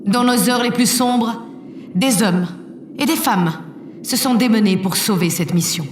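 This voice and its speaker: A self-assured female president played by an actress with a fairly high voice. She orates solemnly and formally, as in an audio drama. The sound is echoey and set away from the mic. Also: measured pace, natural voice. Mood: solemn, stately. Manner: formal, oratorical. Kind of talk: scripted audio drama speech. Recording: echoey hall PA, distant, faint noise.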